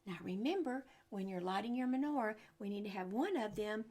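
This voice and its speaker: A woman's voice: medium pitch, fairly quick, warm tone. A woman reading a picture book aloud to children, adding exclamations and chatty questions to her young listeners as she goes. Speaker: storyteller and religious teacher for children.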